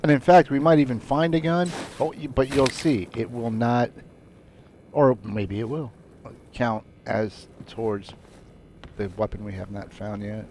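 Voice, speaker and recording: A man casually commenting on his own gameplay, muttering relaxed asides as he plays. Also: medium pitch, measured pace, noisy background. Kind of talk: casual let's-play commentary.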